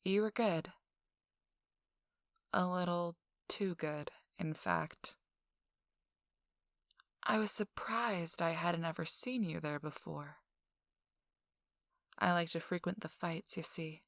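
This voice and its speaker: Woman playing a slow, sultry, teasing socialite. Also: lowish voice, no room sound, studio quality. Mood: coy, intrigued.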